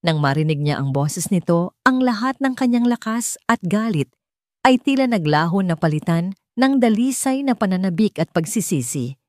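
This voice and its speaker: A woman's voice: medium pitch, lively intonation, moderate pace, a little mellow, no room sound, clean studio sound. A woman narrating a scripted drama story in a theatrical storytelling style. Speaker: audiobook narrator.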